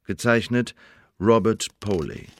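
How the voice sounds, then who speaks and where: An officious secret agent delivering an official report in a radio play. His voice is fairly low, and his phrasing is formal, measured and bureaucratic. Faint ambience sits behind him. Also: noisy background.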